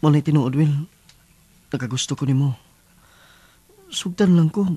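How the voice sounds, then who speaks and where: A man's voice: a little high, a little slow, warm tone, breathy edge. A man acting in a radio drama as an earnest young working man, sincerely pleading his love. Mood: earnest wooing.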